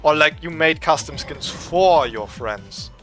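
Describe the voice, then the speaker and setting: A young man with a German accent, giving excitable, swearing, trash-talking gameplay commentary. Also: a little high, takes it slowly, faint music behind. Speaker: gaming streamer.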